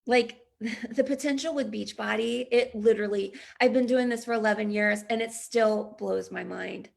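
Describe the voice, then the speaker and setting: A woman in her thirties talks her team through a business training on a video call. Her voice sits a little high and carries a slight rasp, and her delivery is upbeat and chatty. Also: fairly even intonation, moderate pace, darkish tone. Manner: upbeat, chatty, frequent laughs and throat clearing. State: slightly raspy voice this morning. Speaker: business coach. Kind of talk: online training presentation.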